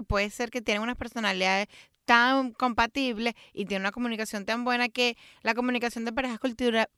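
A woman's voice: on the high side, fairly bright tone, clear, resonant tone, dry recording, studio quality. A woman co-hosting a casual podcast, explaining things emphatically and dropping in the odd English word. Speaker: podcast co-host. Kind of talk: casual conversational podcast talk.

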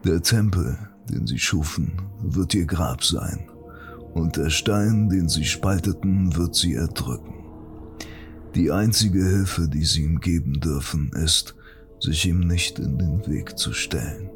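A man voicing an orc shaman who gravely recites a dark, ancient prophecy in a measured, portentous audiobook style. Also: low-pitched, faint music behind. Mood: solemn. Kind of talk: solemn scripted fantasy audiobook recitation.

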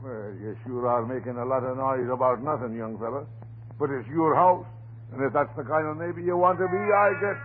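A man's voice: mid-range voice, a little fast, natural voice. Folksy old-time radio cop, acted by a man, tinny and noisy. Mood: indignant, insistent. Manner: blustering, garrulous, folksy turns of phrase. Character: folksy, chatty, stubborn off-duty police desk sergeant neighbor. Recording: noisy, narrow-band vintage radio recording.

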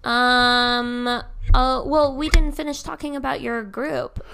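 A young woman co-hosts a podcast with dry, teasing humor, tossing in wry jabs between her partner's stories. Her voice sits somewhat above the usual pitch for a woman. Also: steady tone, slow, creaky, bright, forceful tone, slight background noise. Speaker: podcast co-host. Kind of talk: casual chat.

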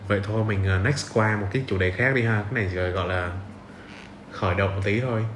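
A man's voice: fairly low pitch, steady tone, quick pace. A young man with a southern Vietnamese accent, hosting a podcast as a casual interview chat. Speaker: podcast host. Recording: roomy echo, noticeable background hiss.

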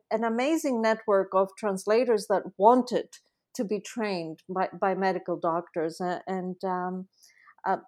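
Guest voice: middle-aged woman, mid-pitched, chatty and halting, full of ums and restarts. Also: a little mellow. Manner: hesitant, many fillers and restarts. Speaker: guest, translation company founder. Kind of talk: conversational interview answers.

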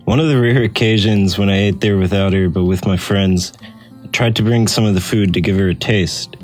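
A man's voice: on the low side, fairly even intonation, brisk, faint music behind. A man reading a personal essay aloud as scripted narration. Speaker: essay reader.

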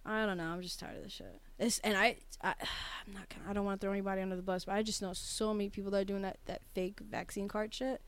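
A young adult woman co-hosting a podcast, talking in a chatty, animated way with plenty of swearing. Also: medium pitch, clean tone, no room sound. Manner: chatty, animated, profane, quick to laugh. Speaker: podcast co-host. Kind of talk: casual chat.

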